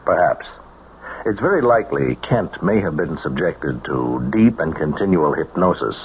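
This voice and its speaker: A man's voice: low-pitched, heavily acted. A man acting in a radio drama as a stern, guarded intelligence colonel with a grave, official manner. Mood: grave warning. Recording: dull, noisy old radio broadcast.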